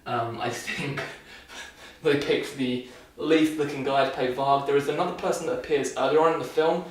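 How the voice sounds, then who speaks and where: A man's voice: mid-range voice, steady tone, moderate pace. A young man vlogging casually while shaving, talking loosely with lots of restarts and dry sarcasm. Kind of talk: casual rambling film review. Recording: echoey room, mic far off.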